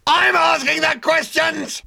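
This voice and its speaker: A man's voice: very high voice, expressive, quick pace, creaky, no echo, voice pushed up, heavily acted. A man voicing a furious, officious male spaceship officer who squeals and barks his lines. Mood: furious shouting. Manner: squealing, barking shouts.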